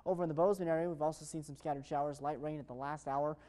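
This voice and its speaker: A man's voice: on the high side, fairly even intonation, very fast, dark tone. An American man presenting an ad-libbed television weather forecast in an upbeat, chatty way.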